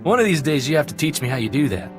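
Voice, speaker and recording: An actor playing a jaunty, loyal gunslinger sidekick who wisecracks in a video game. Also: male voice, on the high side, very animated, very fast. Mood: amused, jaunty. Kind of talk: video game character acting. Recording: music under the voice.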